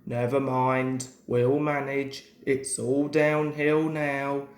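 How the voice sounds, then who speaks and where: Guy doing a calm train fireman for a kids story, pitched down, reassuring, roomy echo. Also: slow pace, lightly acted. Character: good-humoured male railway fireman, calm and reassuring. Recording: roomy, echoing home recording.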